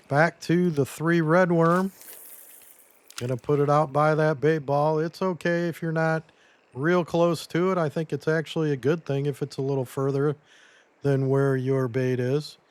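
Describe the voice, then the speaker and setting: A man losing his voice a little, giving a relaxed step-by-step gameplay tutorial as commentary. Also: a little high, clean tone. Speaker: game guide creator.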